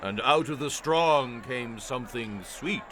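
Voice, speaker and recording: A man playing a strong, wrathful biblical hero in a radio-style dramatization, speaking in a forceful, declamatory way. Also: mid-range voice, measured pace, slight background noise, natural voice. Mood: taunting, defiant.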